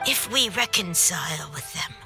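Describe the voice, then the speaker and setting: A woman playing a solemn, earnest war-hardened adviser in a video game, speaking formally and deliberately. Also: fairly low pitch, animated, music in the background. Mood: grave, pleading. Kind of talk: video game character acting.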